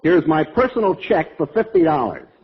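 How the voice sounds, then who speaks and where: A muffled old radio recording of a shifty, eager sidekick. He schemes with mock dignity, his pitch swinging very widely, in old-time comedy style. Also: big pitch swings, moderate pace. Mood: mock-dignified. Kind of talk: 1940s-50s radio comedy acting before a live audience. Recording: muffled, slightly hissy vintage radio recording.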